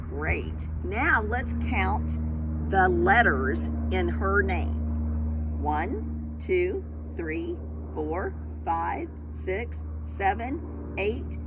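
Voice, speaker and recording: Slow, very animated woman reading to children. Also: mid-range voice. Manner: expressive, deliberate, addressing young children directly. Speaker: storytime reader, teacher of young children. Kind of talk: children's picture-book read-aloud with questions to listeners.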